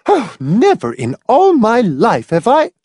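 Audiobook mother by a male reader: raised pitch, animated, reproving, prim, irritable and easily outraged. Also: normal speed, no echo, studio quality. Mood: scandalised. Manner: reproving, tight, indignant. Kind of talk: audiobook character voice.

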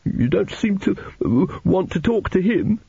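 Squeaky, stammering, tearful little schoolboy. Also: male voice, big pitch swings, a little slow, creaky, dark tone, husky, no echo, muffled recording, exaggerated voice. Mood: earnest, timid. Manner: stammering, hesitant. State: tearful, sniffling. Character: chubby, tearful, pious young schoolboy, timid and fervent.

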